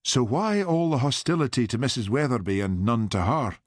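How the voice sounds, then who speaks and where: A man's voice: medium pitch, animated, a little fast, no echo, voice pushed up, natural voice. A man voicing a shrewd, skeptical policeman who asks dry, pointed questions. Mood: probing.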